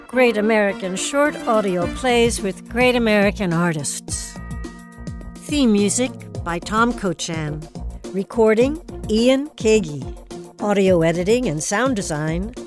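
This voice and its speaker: A woman host speaking in a measured, deliberate way as she moderates an arts discussion. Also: medium pitch, lively intonation, over music.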